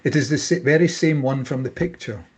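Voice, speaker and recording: A man's voice: medium pitch, a little fast, darkish tone, breathy edge. A man reading out screenplay stage directions as scripted narration at a table read.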